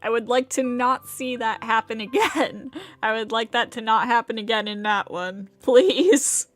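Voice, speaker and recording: A woman's voice: a little high, steady tone, normal speed, a bit bright. A young adult woman chatting casually as a game streamer, rambling and hesitant, with game music behind her. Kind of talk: casual livestream chat.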